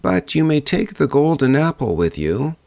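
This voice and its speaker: Man putting on an aged crone, pitched high and singsong. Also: very animated, normal speed, gravelly, darkish tone, dry recording. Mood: helpful, instructing. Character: aged mountain crone, helpful, fairy-tale old woman.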